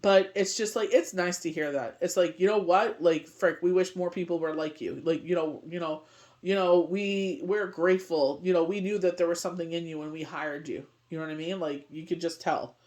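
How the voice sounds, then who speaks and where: A woman's voice: fairly low pitch, fairly even intonation, moderate pace, a little mellow. Rambling middle-aged woman reading tarot. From Canada. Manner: rambling, heavy on "you know what I mean". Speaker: tarot reader. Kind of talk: casual daily tarot reading.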